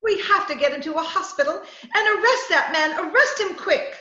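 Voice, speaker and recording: A woman playing an excitable, headstrong young reporter in an old-time radio comedy-mystery, with theatrical, breathless exclamations. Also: extremely high pitch, normal speed, heavily acted. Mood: urgent. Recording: echoey, muffled home video-call recording.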